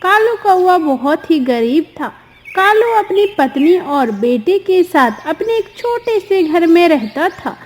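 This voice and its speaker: A woman narrating a scripted story for children. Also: very high-pitched.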